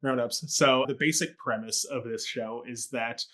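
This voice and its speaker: A man co-hosts a relaxed theatre review talk, a bit hoarse from a cold. He talks in a chatty, self-deprecating way and laughs easily, at an ordinary middle pitch. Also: moderate pace. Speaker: co-host and theatre critic. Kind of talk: casual review chat.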